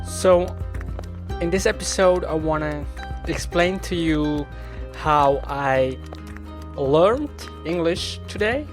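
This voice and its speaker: A man with a Swiss German accent, a native Swiss German speaker, hosting a podcast in a slow, reflective, self-deprecating monologue. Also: on the high side, lively intonation, soft background music. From Switzerland. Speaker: podcast host.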